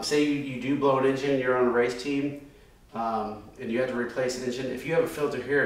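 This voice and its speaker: A man's voice: medium pitch, recorded from a distance. A man in his thirties casually explaining his race car's oiling system at a chalkboard, loose and conversational with many ums and restarts. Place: workshop room with a chalkboard. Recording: very echoey room, voice a bit off-mic.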